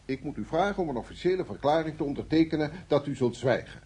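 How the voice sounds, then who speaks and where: An actor with a Netherlands Dutch accent playing a formal, measured, coolly menacing security service official in a radio play. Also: male voice, a little high, lively intonation, a little mellow, breathy edge. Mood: firm, insistent. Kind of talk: Dutch radio drama acting.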